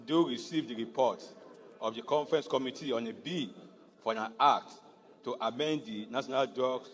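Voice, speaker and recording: A man's voice: medium pitch, fairly bright tone. A middle-aged Nigerian man, a native Yoruba speaker, giving a floor speech as a legislator. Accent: Nigerian Yoruba-region English. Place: large indoor legislative chamber. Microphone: desk gooseneck microphone. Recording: echoey noisy chamber, long reverb.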